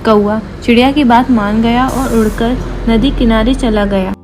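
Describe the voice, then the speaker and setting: Casting: female storyteller for a children's fable, fairly high voice, scripted read, clear music bed. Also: steady tone, measured pace. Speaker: narrator. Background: background music.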